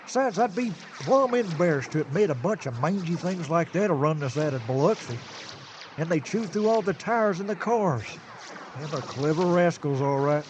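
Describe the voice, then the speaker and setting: A man's voice: a little high, animated, normal speed, noisy background, close to an everyday voice. A man playing a cantankerous small-town sheriff with a Southern US accent, folksy and blustering, in a comic radio drama. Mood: exasperated. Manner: folksy, exasperated, colourful mild oaths. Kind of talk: comic old-time radio drama acting.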